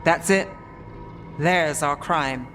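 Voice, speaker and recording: Male, skeptical party leader, slightly high voice, deliberate, questioning, reverberant hall. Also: sharp tone, heavily acted. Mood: probing. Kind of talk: naturalistic game dialogue. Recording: long reverb, faint music.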